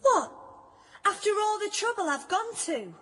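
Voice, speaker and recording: Pushy teasing female pal, pretty high voice, brisk, radio play, noisy background. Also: very animated, pushed and bright, heavily acted. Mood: forceful, impatient. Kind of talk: naturalistic radio drama acting.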